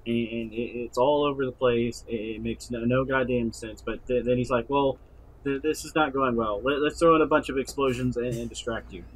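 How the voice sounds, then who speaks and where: Halting, stammering man, medium pitch. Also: fairly even intonation, breathy edge, poor audio. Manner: halting, stammers and repeats words. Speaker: podcast co-host. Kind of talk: casual chat.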